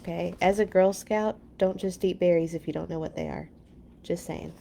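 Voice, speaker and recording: A woman's voice: lowish voice, fairly even intonation, normal speed, creaky, warm tone, slight background noise. Lady doing a kids read-along, storybook narration w little chatty side comments. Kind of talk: animated picture-book read-aloud with chatty asides.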